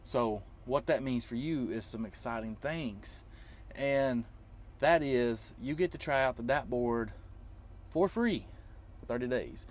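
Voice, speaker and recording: A man's voice: medium pitch, takes it slowly. A man in his thirties talking informally to vlog viewers, explaining emphatically and repeating himself to drive points home. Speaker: trucker vlogger.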